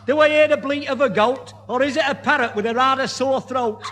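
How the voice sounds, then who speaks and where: An actor playing a showy, mocking market trader who declaims in rhyme in a 1970s radio comedy. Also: male voice, very high voice, brisk, heavy background noise. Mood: teasing. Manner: declaims in sing-song rhyme. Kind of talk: 1970s radio sitcom acting.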